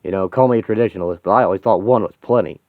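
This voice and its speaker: A man's voice: lowish voice, dry recording, lightly acted. A man doing a wisecracking archer. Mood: wry. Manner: quick deadpan quips. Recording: tinny phone-like audio, dry.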